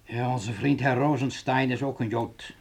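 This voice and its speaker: Krakerige, mopperende huisvader. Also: male voice, mid-range voice, fairly even intonation, measured pace. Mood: firm, earnest. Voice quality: gravelly. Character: grumbling, decent German family father in 1930s Berlin. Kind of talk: radio drama acting.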